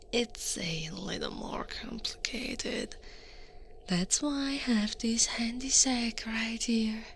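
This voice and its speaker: An Italian woman playing a tall, teasing Krampus monster woman in a soft, breathy ASMR roleplay, often dropping into a whisper. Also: fairly high pitch, a little hiss or hum. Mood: explaining, coaxing. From Italy. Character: eight-foot horned furry Krampus woman, teasing, coaxing, in control.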